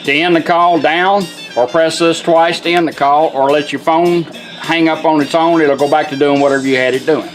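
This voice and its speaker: Male demonstrator, slightly high pitch, plain-spoken and folksy, casual step-by-step walkthrough, some music in the background. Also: fairly even intonation, normal speed, hardly any pauses, low-quality recording. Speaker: product demonstrator.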